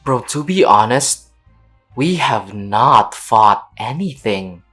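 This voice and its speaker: Very low-pitched, slow, offhand woman gamer. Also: lively intonation, fairly bright tone, faint music behind. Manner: slangy, blunt, offhand. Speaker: gaming streamer. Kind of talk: casual reactions and reading along while playing.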